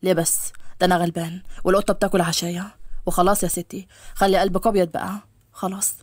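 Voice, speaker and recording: A woman acts out a young Egyptian man in a dramatized story. He is a smitten suitor with a lowered voice, teasing and apologetic as he talks. The sound is dry. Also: level tone, fast talker, no room sound, close to an everyday voice. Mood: playful pleading.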